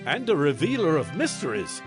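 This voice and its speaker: An awestruck, commanding king in a scripture drama. His somewhat high voice swings dramatically, grand and emphatic, over a bed of music. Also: wildly swooping pitch, fairly quick. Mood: awed, reverent.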